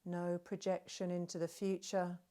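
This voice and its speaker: A woman leading a guided relaxation, speaking gently and soothingly, with long unhurried pauses between phrases. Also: lowish voice, little pitch movement. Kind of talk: guided meditation narration.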